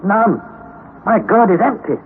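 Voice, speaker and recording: Earnest young adventurer, tinny old radio. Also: male voice, high-pitched, a little slow, slight background noise. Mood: parched, despairing. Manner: earnest, dramatic, formal. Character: anxious young nephew narrating a perilous expedition. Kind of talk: old-time radio drama narration and acting.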